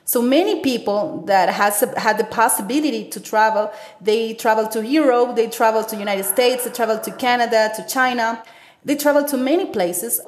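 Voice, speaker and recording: Animated Ecuadorian woman, echoey room. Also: a little high, normal speed. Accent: Ecuadorian Spanish. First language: Spanish. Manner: animated, gestures with stories, frequent fillers. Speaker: interviewee, teacher. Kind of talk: conversational interview.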